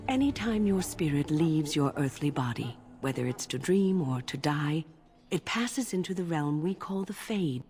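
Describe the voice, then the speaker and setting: A kindly, wise older mage from a fantasy game. Her voice sits low and she explains things in a measured, composed way. Soft music plays faintly underneath. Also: lively intonation. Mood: thoughtful. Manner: measured, gently instructive. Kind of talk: fantasy video game dialogue acting.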